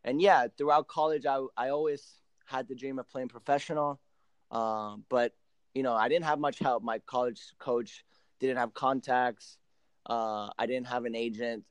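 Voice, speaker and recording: A man in his late twenties, originally from Argentina with Spanish as his first language, talks casually as a podcast guest. His voice is smooth and clear, at an average pitch, and the sound is close and dry. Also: fairly even intonation, normal speed, no room sound. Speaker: professional soccer player, podcast guest. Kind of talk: casual interview storytelling.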